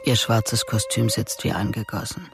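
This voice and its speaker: A man narrating a crime radio drama in a measured, descriptive, scripted style. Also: lowish voice, steady tone, creaky. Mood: observant, tense. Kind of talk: scripted present-tense narration. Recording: loud music under the narration. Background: loud music.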